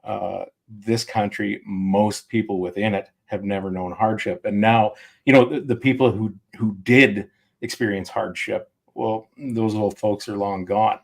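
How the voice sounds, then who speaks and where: A man's voice: on the low side, steady tone, measured pace, gravelly. A man hosting a casual podcast, blunt and opinionated, swearing freely as he talks. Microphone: remote call mic.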